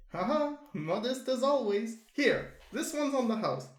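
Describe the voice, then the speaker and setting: Guy putting on a friendly russian shopkeeper, bouncy pitch, echoey room. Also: sing-song, slow pace, exaggerated voice. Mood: friendly grateful. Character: friendly shopkeeper with a Russian-tinged voice, grateful customer.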